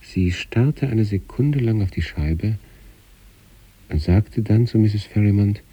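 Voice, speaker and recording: An introspective male patient recounting uncanny experiences. His voice is very deep and dark, with a husky grain. He narrates in a measured, deliberate literary radio manner. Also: expressive, lo-fi sound, full character voice. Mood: calm, absorbed.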